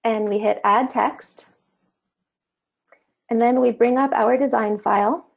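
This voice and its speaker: A woman talks through a screen-share lesson in a relaxed, chatty way, stopping now and then with an "um". Her voice has a gravelly edge and sounds a little worn, as if she is starting to lose it. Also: fairly high pitch, slow. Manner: casual, conversational explaining with fillers. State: slightly losing her voice. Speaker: online course instructor. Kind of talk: screen-share tutorial walkthrough.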